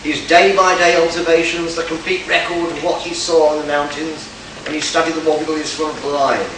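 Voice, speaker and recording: A man playing an arrogant, excitable genius botanist in a pulp science fiction radio play, lecturing in a pompous, condescending way. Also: a little high, moderate pace, lightly acted. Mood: commanding. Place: live convention performance, recorded from afar. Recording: distant echoey room, clearly noisy.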